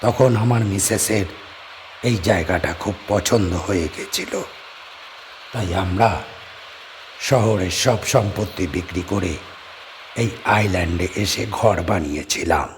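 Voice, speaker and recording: A man's voice: deep voice, a little slow, long pauses, noisy background. A man playing a lonely island guest-house owner. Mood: polite. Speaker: D'Souza. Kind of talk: audio drama acting.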